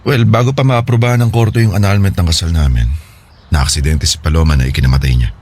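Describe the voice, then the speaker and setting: A man's voice: low-pitched, fairly even intonation, fast talker, a little mellow. A man playing an earnest, regretful separated husband and devoted father in a radio drama, speaking in a confiding, hesitant way. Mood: somber. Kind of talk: Filipino radio drama dialogue.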